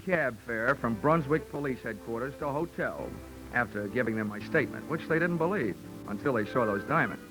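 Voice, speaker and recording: A man's voice: mid-range voice, animated, moderate pace, music in the background, close to an everyday voice, poor audio. Man playing a wry American hard-boiled radio detective. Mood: sardonic, brisk. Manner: dry, sardonic, punchy delivery. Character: wry, money-minded hard-boiled male insurance investigator, narrating. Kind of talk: 1940s radio detective narration. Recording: vintage 1940s radio recording.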